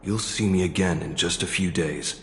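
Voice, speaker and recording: An actor playing a brash, defiant ex-prisoner in a video game, curt and boastful. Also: male voice, sits low, normal speed, a little hiss or hum, close to an everyday voice. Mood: dismissive. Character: brash, defiant male ex-prisoner, cocky and terse. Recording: long hall reverb, music behind.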